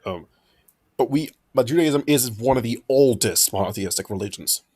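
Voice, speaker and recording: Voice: medium pitch, animated, normal speed, a little mellow, breathy edge. Chatty host going on about old religions, voice bounces a lot, kinda jokey. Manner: talkative, lecturing, jokey. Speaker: podcast host. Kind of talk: casual group chat.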